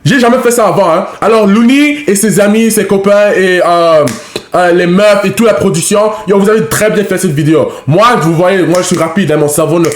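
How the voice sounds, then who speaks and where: A man from Canada whose first language is English, reacting to videos in casual French. He talks in a halting way, repeating words and dropping in English fillers. His voice sits high and bounces around an echoey room. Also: a little hiss or hum. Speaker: reaction streamer.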